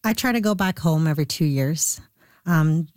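A woman's voice: sits low, animated, moderate pace, a little mellow, no room sound, clean studio sound. A woman answering conversationally as a guest in a radio interview.

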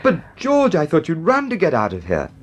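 A man playing an eager, loyal gentleman friend in a period radio drama, solicitous and easily puzzled. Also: a little high, fairly quick, breathy edge, heavily acted. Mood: surprised, puzzled. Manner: animated, solicitous.

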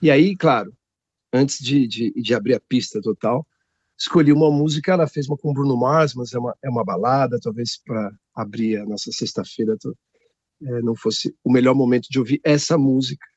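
A man's voice: brisk, no room sound, lo-fi sound. A Brazilian man hosting a radio music show from his home studio, chatting casually and joking as he introduces songs. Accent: Brazilian Portuguese. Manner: chatty, joking, lively pitch swings.

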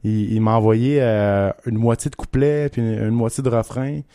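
A man's voice: medium pitch, mellow, clean tone, dry recording. Québécois man chatting casually, dry close sound. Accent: Québécois French. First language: French. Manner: informal, halting, repeats words. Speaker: country singer-songwriter being interviewed. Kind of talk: casual interview chat.

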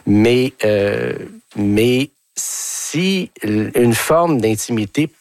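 A man, a psychologist guest who gives measured, hesitant advice and thinks aloud with many fillers. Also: medium pitch, animated, darkish tone, no room sound. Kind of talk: expert advice on a talk show.

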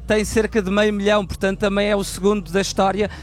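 A man reporting live from an outdoor fan gathering and chatting with the crowd on a handheld mic, lively and chatty. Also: high voice, fast talker. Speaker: field reporter.

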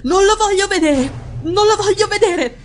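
Woman acting an anguished, feverish wife, high-pitched. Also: a little hiss or hum. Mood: delirious, horrified. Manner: anguished, dramatic, drawn-out cries. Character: feverish, despairing wife in delirium.

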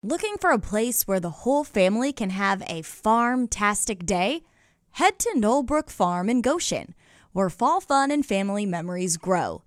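A woman's voice: medium pitch, sing-song, moderate pace. A woman reading a scripted ad in a bubbly, inviting tone. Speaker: commercial voice-over. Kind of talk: upbeat scripted ad read.

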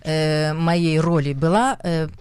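A woman's voice: deep voice, slow, darkish tone, clean tone, no room sound. Woman with a Russian accent, hesitant. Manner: hesitant, searching for words, frequent fillers. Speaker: actress, interview guest. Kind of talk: conversational interview.

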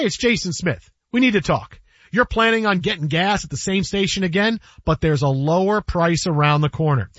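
A man's voice: sits high, fairly bright tone, no echo. A man hosting sports talk radio, bantering and reading promotional copy. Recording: narrow-band radio sound, faint music.

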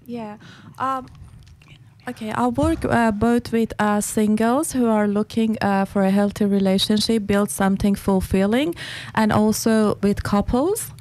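Brief: Persian-accented woman relationship coach, mid-pitched, careful and halting. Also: a little mellow. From Iran. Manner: careful, halting with many "uh" fillers. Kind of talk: casual panel chat.

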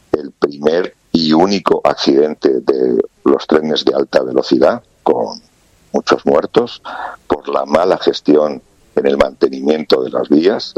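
Spanish guy talking over a dull lo-fi line, super deep and dry, earnest, lots of restarts. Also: a little mellow, breathy edge, dry recording. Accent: Spanish (Spain). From Spain. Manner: earnest, restarts phrases with fillers. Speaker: guest commentator. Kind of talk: remote interview commentary.

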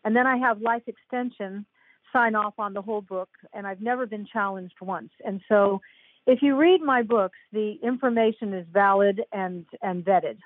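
A woman's voice: medium pitch, normal speed. An older woman, a guest author, chatting on a podcast with enthusiasm and frequent laughter. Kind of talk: casual podcast chat. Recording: tinny phone-like line, dry.

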